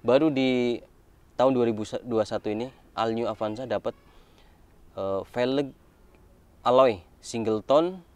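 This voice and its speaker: A man's voice: medium pitch, a little slow, pauses often, darkish tone, clear, resonant tone, no echo. A man giving a casual walkaround car review, explaining things informally point by point.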